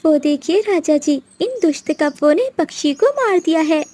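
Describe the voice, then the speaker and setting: Woman acting a high-voiced vengeful little cartoon bird. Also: expressive, normal speed, mellow. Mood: accusing, alarmed. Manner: accusing, dramatic. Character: vengeful, scheming female bird plotting against wicked crows. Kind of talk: Hindi moral-story cartoon acting.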